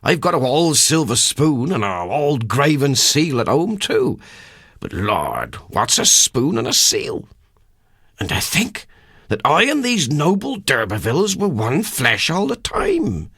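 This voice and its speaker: Lilting, naive West Country rustic. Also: male voice, mid-range voice, wildly swooping pitch, a little slow, creaky, fairly bright tone, slightly rough, no room sound, clean studio sound, pitched above the performer's own voice, full character voice. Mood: wondering, puffed up. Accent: English West Country. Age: middle-aged. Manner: rustic dialect, wondering and wheedling. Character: middle-aged rustic tippling haggler, naive and self-important.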